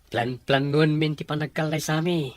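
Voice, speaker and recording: Lightly high-voiced, hopeful young man male actor. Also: steady tone, moderate pace, no echo, lightly acted. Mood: friendly. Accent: Ilocano. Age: young adult. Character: hopeful, respectful young groom-to-be. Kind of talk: Ilocano audio drama acting.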